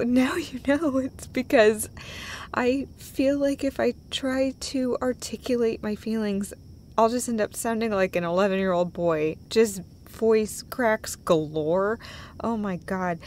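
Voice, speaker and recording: A woman playing a tender, emotional, self-deprecating girlfriend in an intimate romantic audio roleplay, speaking in halting, breathy phrases. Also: fairly high pitch, slight background noise, close to an everyday voice. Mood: embarrassed, playful. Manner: halting, breathy phrases broken by small laughs.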